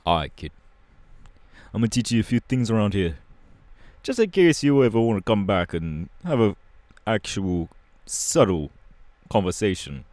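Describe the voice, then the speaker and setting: A man voicing a cocky, laid-back young punk superhero with a London accent, slangy and halting, in an ASMR audio roleplay. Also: lowish voice, darkish tone, no echo. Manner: casual, slangy, halting, irreverent.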